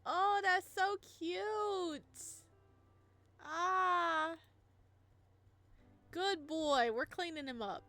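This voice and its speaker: A woman reading a visual novel aloud and gushing over it in playful, animated commentary. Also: very high-pitched, drawn-out words, pauses often, no echo. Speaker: gaming video creator.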